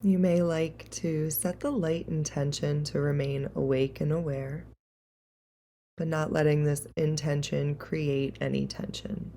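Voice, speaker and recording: A woman leading a guided meditation in a calm, gentle, unhurried and soothing way. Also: deep voice, a little hiss or hum.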